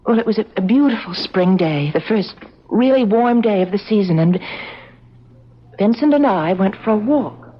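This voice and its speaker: Husky female voice, actress as concerned gentle companion, earnest emotional radio-drama acting, lively swoops in pitch, dull lo-fi vintage sound. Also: normal speed, close to an everyday voice.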